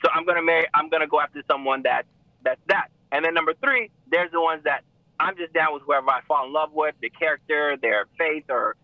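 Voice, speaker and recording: Male co-host, slightly high voice, rambly, heard over a thin phone connection. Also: fairly quick, no echo. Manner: rambling, restarts with emphatic pitch jumps. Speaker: podcast co-host. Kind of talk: casual chat. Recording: tinny phone-like sound.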